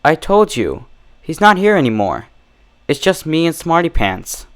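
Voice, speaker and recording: An unhinged young female pony from a dark storybook, played by a man. The voice is lifted above his natural pitch and swings widely, emphatic and girlish. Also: very animated, unhurried. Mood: insistent cheer. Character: young female pony, unhinged, chirpy, desperately cheerful or frantic.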